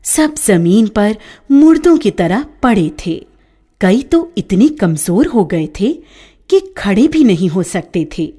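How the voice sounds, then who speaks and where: A woman narrating a children's story in a scripted storybook style, with an expressive, lilting delivery. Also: mid-range voice.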